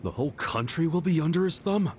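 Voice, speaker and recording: A man's voice: on the high side, expressive, fairly quick, a little mellow, a little hiss or hum, close to an everyday voice. An actor playing an earnest, impulsive young male hero who keeps blurting out questions. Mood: baffled.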